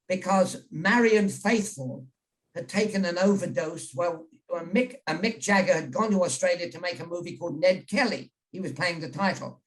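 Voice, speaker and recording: An older man from England with a clear British accent, speaking as a guest journalist. He rambles through stories, repeating words and restarting sentences. He is heard over a video call. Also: high-pitched, poor audio. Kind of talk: casual storytelling chat.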